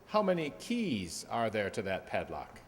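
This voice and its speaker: A man playing a shrewd, courteous gentleman detective, assured and inquisitive, in a radio play. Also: lowish voice, wildly swooping pitch, measured pace, a little hiss or hum. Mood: probing. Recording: echoey hall reverb.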